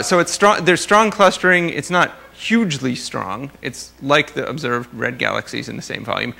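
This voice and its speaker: A male scientist lecturing informally, with a creaky, crisp-edged voice at a middling pitch. He qualifies his points carefully and drops in dry, wry asides as he explains. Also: pushed and bright. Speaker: astronomer giving a research talk.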